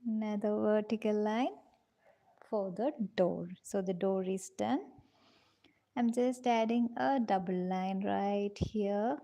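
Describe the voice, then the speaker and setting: A woman leads a live drawing class at an unhurried, careful pace. Her mellow voice carries a gravelly edge, and she stays gentle and patient, calling her students dears. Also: steady tone. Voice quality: low-pitched voice, in her own words. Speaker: drawing teacher.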